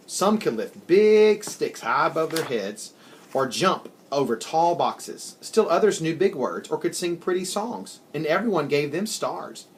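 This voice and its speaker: A man reading a children's story aloud in an expressive, deliberate storytelling manner. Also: fairly high pitch. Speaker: story reader. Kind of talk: scripted children's storybook narration.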